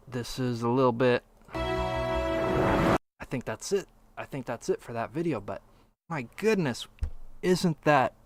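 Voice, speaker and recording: A man co-hosting a casual podcast, reacting to a game trailer with sarcastic disbelief. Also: medium pitch. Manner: sarcastic, incredulous. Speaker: podcast co-host. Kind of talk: casual chat reacting to a video.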